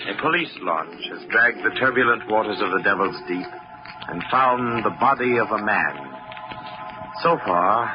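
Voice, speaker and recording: A man narrating an old radio drama as its storyteller, with dramatic scripted delivery. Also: fairly low pitch. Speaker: storyteller, announcer. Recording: muffled old radio recording. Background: music.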